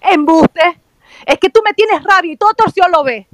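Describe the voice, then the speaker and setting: A woman's voice: extremely high pitch, normal speed, dry recording. A woman playing a naive, melodramatic, lovesick young stepdaughter in a radio soap opera. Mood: indignant denial.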